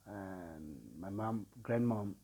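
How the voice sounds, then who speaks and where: A man in his forties from Nepal with a Nepali accent, a sound healer telling his life story in an unhurried way, pausing often. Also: on the low side, warm tone. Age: 40s. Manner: unhurried, with frequent pauses and filler sounds. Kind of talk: personal life story told to camera.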